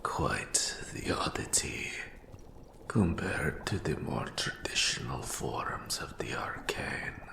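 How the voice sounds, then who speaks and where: An ancient eldritch specter speaks in an extremely low, creaking voice that often sinks into whispers. He is a scholarly fantasy mentor with formal, archaic phrasing, drawn out very slowly, in an echoing space. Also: animated, bright, forceful tone, full character voice. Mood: eerie, scholarly fascination. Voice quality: whispery, gravelly, breathy rasping gasps. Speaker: mentor to an apprentice. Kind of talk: scripted fantasy audio roleplay monologue.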